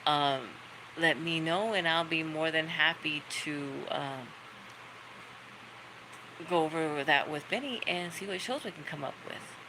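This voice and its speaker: Gravelly middle-aged woman, chatty livestream. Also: deep voice, unhurried, noisy background. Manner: chatty, rambling storyteller. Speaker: livestream host. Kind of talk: casual chat to viewers. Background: dogs barking.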